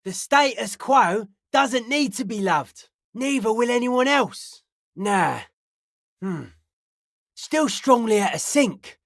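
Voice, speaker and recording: A woman voicing a suspicious, aloof young hardcore raver in a video game, speaking in deadpan, clipped, cryptic pronouncements. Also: a little high, slow pace, no echo, clean studio sound. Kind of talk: video game character dialogue.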